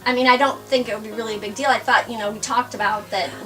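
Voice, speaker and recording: A middle-aged woman comedian chatting casually as an interviewer, halting and coaxing, recorded from a distance in a roomy space. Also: on the high side, fairly even intonation, over music. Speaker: comedian presenting a one-woman show and interviewing.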